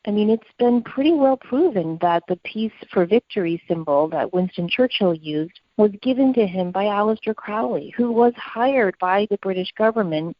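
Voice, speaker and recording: A woman's voice: medium pitch, expressive, normal speed, few pauses, no echo, muffled recording. A woman co-hosting a podcast, talking in an earnest, reflective and opinionated conversational way. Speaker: podcast co-host.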